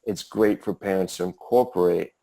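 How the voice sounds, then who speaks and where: A man interviewing, speaking calmly and affirmingly. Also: low-pitched, normal speed, gravelly. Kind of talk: casual interview chat.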